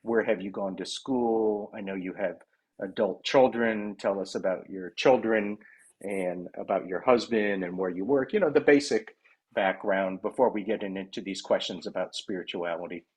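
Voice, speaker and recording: A man with a low, husky voice hosts a virtual session and interviews a guest. He speaks haltingly, with frequent ums and restarts, over a video call microphone. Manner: halting, many fillers and restarts. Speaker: interviewer and project founder.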